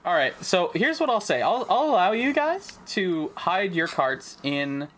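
A man's voice: high-pitched, big pitch swings, measured pace, fairly bright tone. A man narrating as the game master of a tabletop game podcast, in a loose, chatty way.

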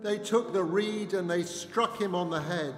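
A man's voice: sits high, unhurried, music in the background. A man narrating scripture as a solemn dramatic reading in a reverberant hall. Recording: echoey hall with long reverb.

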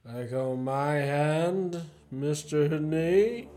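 A man acting in a student radio play as a courteous, menacing gentleman professor who is secretly a spy chief. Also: on the high side, extremely slow. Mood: coolly probing. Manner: courteous, genteel, theatrically menacing.

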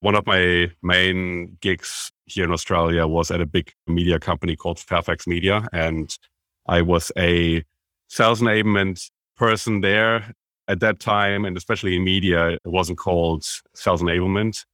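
A man's voice: low voice, level tone, moderate pace, fairly bright tone, no room sound. A man from Germany with a German accent, a podcast guest talking at length and with enthusiasm about his career. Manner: enthusiastic, self-described business nerd, explains at length. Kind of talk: conversational interview.

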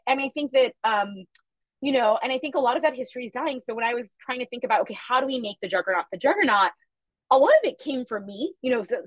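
A woman's voice: fairly high pitch, slightly rough, no echo, lo-fi sound. A woman guest in a casual podcast interview, talking animatedly and emphatically about her company and upbringing. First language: Chhattisgarhi. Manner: fast, animated, emphatic storytelling. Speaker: guest, media company founder.

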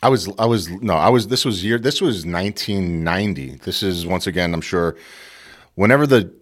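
A man from Queens, telling a casual, animated story as a guest and acting out the voices of the people in it. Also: low-pitched, moderate pace. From Queens, New York. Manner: animated, acts out voices, lots of "you know".

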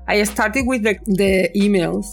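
Casting: woman in her fifties from southern Spain, hesitant, mid pitch. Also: measured pace, creaky, soft background music. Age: 50s. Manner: hesitant, many restarts, non-native phrasing. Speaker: podcast guest. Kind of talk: casual chat.

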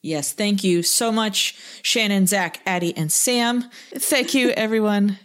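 A woman with a medium-pitched voice co-hosts a casual podcast. She talks playfully, pokes fun at herself and wanders into wordy asides. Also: slow pace. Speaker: podcast co-host. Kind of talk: casual humorous podcast chat.

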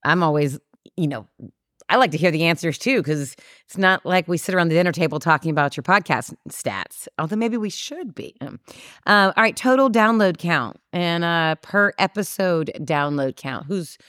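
A woman's voice: on the low side, animated, moderate pace, a bit bright, no echo, studio quality. A woman chatting playfully as a podcast guest, asking the questions with easy fillers. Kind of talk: casual conversational podcast.

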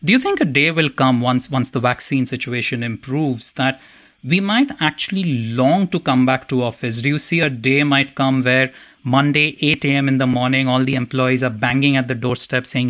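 A man's voice: medium pitch, normal speed, a bit bright. Theatrical man hosting a podcast. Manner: theatrical, playful, self-mocking. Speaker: podcast host. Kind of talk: scripted podcast introduction and interviewing.

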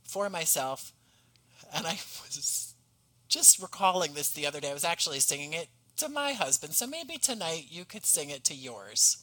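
Bright, clear-toned man chatting as guest. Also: a little high, very animated, normal speed, rough recording. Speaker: podcast guest, playwright. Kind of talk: casual conversational interview.

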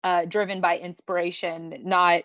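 A woman's voice: fairly low pitch, flat delivery, normal speed, fairly bright tone, dry recording. A woman hosting a podcast, chatting in an agreeable, reflective way with small restarts. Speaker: podcast host. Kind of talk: casual chat.

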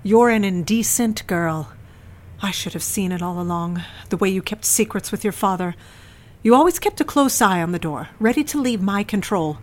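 A woman's voice: medium pitch, animated, moderate pace, darkish tone, close to an everyday voice. Cold, controlling mother, played by a woman, middle-aged. Cutting, melodramatic delivery for audio drama. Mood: scornful, accusing. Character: cold, scornful, controlling mother of a grown daughter.

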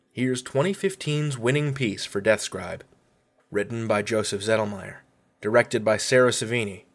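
Mid-pitched man hosting a podcast. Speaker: podcast host. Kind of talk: conversational scripted introduction.

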